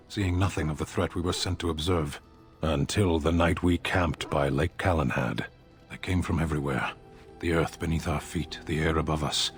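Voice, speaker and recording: Very deep, stoic warrior played by a man. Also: close to an everyday voice. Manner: terse, measured, formal. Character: stoic foreign male warrior, grave, proud, blunt. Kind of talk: video game dialogue acting. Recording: music behind the voice. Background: game music.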